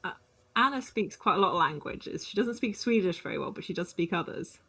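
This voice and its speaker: A woman's voice: lowish voice, expressive, fairly quick, bright, forceful tone. A woman chatting casually as a player in a tabletop roleplaying game.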